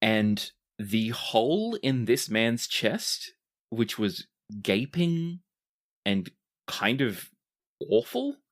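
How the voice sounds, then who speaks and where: Game master doing tabletop rpg narration, dramatic descriptions w casual asides, medium pitch. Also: big pitch swings, drawn-out words.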